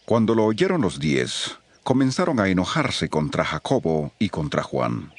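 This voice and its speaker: A man narrating scripture from a script, telling it in a measured, expressive storytelling way. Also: low-pitched.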